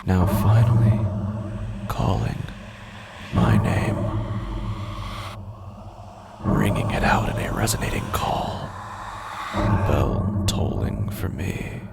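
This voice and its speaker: An actor playing a tormented man narrating his own unravelling in a horror audio drama, halting and intense, with a gravelly, breathy voice. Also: lowish voice, lively intonation, very noisy, full character voice. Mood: hushed dread. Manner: halting, fragmented, intense delivery. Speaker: actor in a horror anthology podcast. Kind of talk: dramatic first-person horror monologue. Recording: music under the voice. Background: prominent music.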